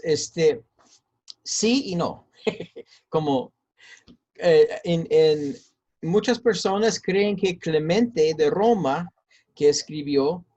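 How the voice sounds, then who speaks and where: Talkative pastor with American-Mexican accent. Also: male voice, fairly high pitch, a little mellow, highs cut off. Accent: mix of American and Mexican Spanish. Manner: talkative, hesitant with many fillers and repeats. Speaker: pastor and seminary teacher. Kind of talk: interview answers.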